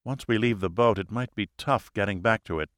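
Audiobook heroine, read by a man: capable American woman, bright crisp delivery, teasing and careful. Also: on the low side, a little fast, dry recording, studio quality, lightly acted. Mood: wary. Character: wry, capable, cautious American wife and scientist.

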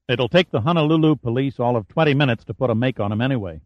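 A man's voice: medium pitch, fast talker, dry recording, close to an everyday voice. A male narrator playing a tough, blunt man who talks in a curt, sardonic way. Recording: dull, muffled dry recording.

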